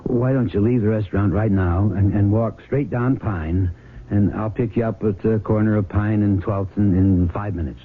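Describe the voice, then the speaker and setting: An actor playing a steady, considerate small-town sheriff with a deliberate manner in a 1970s radio drama. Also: male voice, lowish voice, natural voice. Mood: careful, reassuring. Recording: muffled, noisy old radio broadcast.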